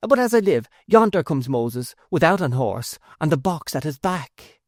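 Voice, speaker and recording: Male reader doing a high-pitched exclaiming housewife, quick to scold, lively sing-song. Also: dry recording, clean studio sound. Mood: eager. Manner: raised, exclaiming. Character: shrill scolding middle-aged mother, quick to anger.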